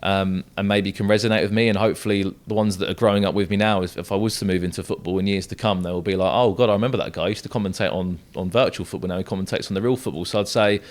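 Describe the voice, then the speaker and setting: Deep-voiced young southern English man. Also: steady tone, racing, few pauses. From south coast of England. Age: young adult. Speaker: esports football commentator and podcast guest. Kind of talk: casual podcast interview.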